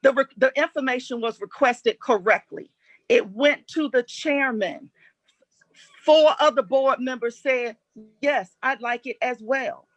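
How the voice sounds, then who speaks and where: A woman's voice: high-pitched, a little slow, dry recording, lo-fi sound. Blunt, insistent woman, dry close sound. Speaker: board member at a public meeting. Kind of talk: formal meeting debate.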